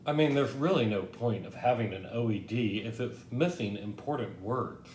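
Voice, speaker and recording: Very low-voiced, stubborn, touchy customer played by a woman. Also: lively intonation, normal speed, darkish tone. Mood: stubborn, sullen. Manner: insistent, indignant. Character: stubborn, touchy, eccentric male bookstore customer. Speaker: actor in a student play. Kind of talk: comic stage play dialogue. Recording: roomy echo, low rumble, faint noise.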